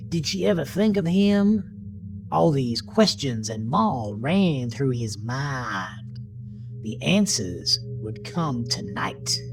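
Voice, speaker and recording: A man reading a scripted horror story as narrator, in a brooding, deliberate, dramatic manner. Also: a little high.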